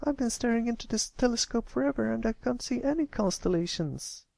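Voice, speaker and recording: Man putting on a squeaky, excitable little filly. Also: fairly quick, vocal fry, no echo, exaggerated voice. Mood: frustrated. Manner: squeaky, exclamatory. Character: excitable, impatient little filly cartoon pony.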